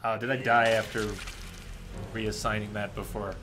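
A man's voice: lowish voice, level tone, moderate pace. A man in his thirties giving casual live gameplay commentary as a streamer, grumbling in a wry, puzzled way. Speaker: game streamer. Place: indoor streaming setup. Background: game music and effects.

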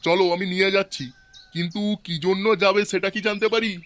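Guy acting a chatty cartoon village bloke, bright high voice, theatrical, talks fast. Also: fairly even intonation. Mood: curious. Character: excitable village family men, father and neighbours. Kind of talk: comic Bangla cartoon dubbing.

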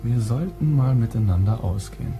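A man's voice: mid-range voice, lively intonation, moderate pace, over music, close to an everyday voice. An actor playing a smug, flirtatious male therapist in a crime radio play, with a teasing, ironic manner. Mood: smooth, sardonic.

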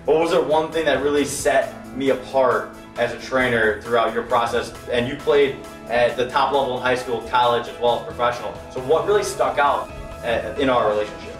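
A man's voice: medium pitch, a little fast, a bit bright, far from the mic, faint music behind. A man with a Chicago accent, a trainer from Chicago, interviewing a former client with direct, promotional questions. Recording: roomy, off-mic voice.

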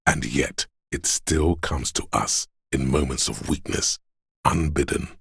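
A man voicing a grim, stoic inner voice of endurance for a game, in a solemn, portentous manner with a husky, gravelly quality. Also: a bass voice, a little slow, a bit bright, dry recording, studio quality, full character voice. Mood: solemn, menacing. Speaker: voice actor. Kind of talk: dramatic game narration, second person.

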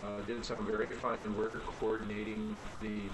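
A middle-aged man speaking haltingly, with frequent pauses, as a guest in an informal webinar discussion. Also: lowish voice, flat delivery. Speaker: library director, panel guest. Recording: noisy webinar audio.

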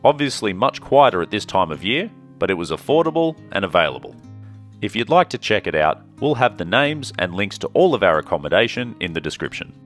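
A man narrating his travel vlog in a calm, measured, scripted way. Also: on the low side, faint music behind. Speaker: travel vlogger.

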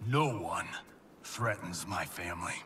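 A man's voice: sits low, expressive, a little slow, noisy background. An actor playing a tough, defiant male soldier protective of his family in a video game. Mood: defiant, angry. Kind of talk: video game cutscene acting. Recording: distant voice under loud music.

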